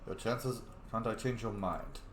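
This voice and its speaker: A man's voice: on the low side, expressive, measured pace, echoey room, slight background noise. A man playing through a fantasy roleplay game, reading his player lines aloud in a playful, casual way. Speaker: roleplay gamer.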